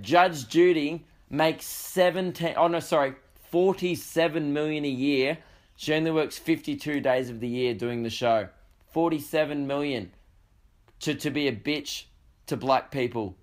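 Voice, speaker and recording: A young Australian man hosting a podcast, talking in a croaky voice with a sweary, jokey, rambling manner. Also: on the high side, normal speed. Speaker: podcast host. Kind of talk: casual comedic monologue.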